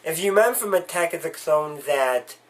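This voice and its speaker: A man's voice: a little high, animated, fairly quick. A man recovering from a cold, giving a casual, rambling spoken review with frequent restarts. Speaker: video reviewer.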